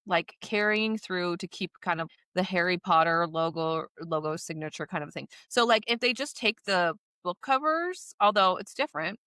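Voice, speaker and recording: A woman's voice: on the low side, expressive, normal speed, hardly any pauses, pushed and bright, smooth, no room sound. An American woman co-hosting a podcast in casual chat, loosely hedging and often saying "like". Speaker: podcast co-host.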